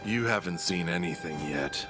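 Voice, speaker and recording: A cunning villain played by a man with a very low voice, forced into a reluctant alliance. He speaks in measured, formal sentences, proud and condescending, with quiet menace, as in an audio drama. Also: animated, music in the background. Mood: menacing, smug.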